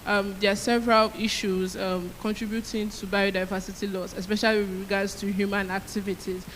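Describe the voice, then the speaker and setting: A woman's voice: medium pitch, flat delivery, brisk, slight background noise, poor audio. A woman moderating a conference panel, polite and a little hesitant as she puts a question to a guest. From Nigeria.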